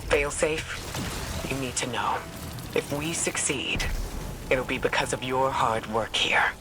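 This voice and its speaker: A woman's voice: rumbling low, slow. A woman acting a serious, resolute military strategist briefing allies in a video game. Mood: earnest. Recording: music playing behind the voice.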